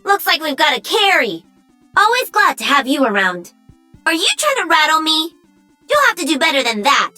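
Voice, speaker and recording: D.Va, a very high, bubbly gamer girl. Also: sing-song, moderate pace, bright, forceful tone, soft background music, exaggerated voice, low-quality recording. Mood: sarcastic. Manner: bubbly, cocky, teasing. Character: perky young female pro-gamer mech pilot. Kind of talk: video game voice lines.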